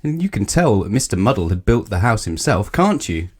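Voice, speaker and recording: Highly animated male children's storyteller. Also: fairly quick, husky. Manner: expressive, addresses young listeners directly. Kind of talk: children's picture book read-aloud.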